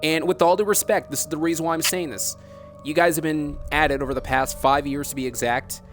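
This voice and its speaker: A man with a slightly high voice hosts an interview over background music. He is earnest and insistent, polite in form but reproachful as he presses long, challenging questions. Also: fairly even intonation, fairly quick, a bit bright. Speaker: broadcaster interviewing by phone.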